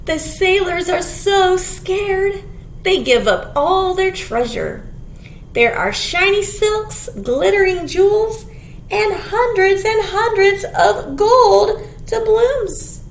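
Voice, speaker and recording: Very high-pitched woman reading to children. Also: takes it slowly. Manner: expressive, clearly addressing young children, with simple questions. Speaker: children's librarian leading storytime. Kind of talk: animated children's picture-book read-aloud. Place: small indoor room. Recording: echoey small room, background hiss.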